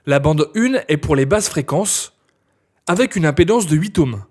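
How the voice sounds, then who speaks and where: Higher-pitched husky male tutorial narrator. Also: expressive, normal speed, darkish tone. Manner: clear step-by-step instruction. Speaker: instructor.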